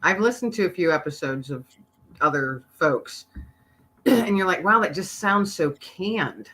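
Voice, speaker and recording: Woman, middle-aged, podcast guest, animated storytelling, laughs a lot, bright tone. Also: fairly low pitch, measured pace, breathy edge. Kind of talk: casual interview chat.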